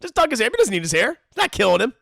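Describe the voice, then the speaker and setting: Lively high-pitched man with gravelly edge. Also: very fast, dry recording, studio quality. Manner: joking, animated, mock-voices characters. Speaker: commentary host. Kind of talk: casual cartoon commentary.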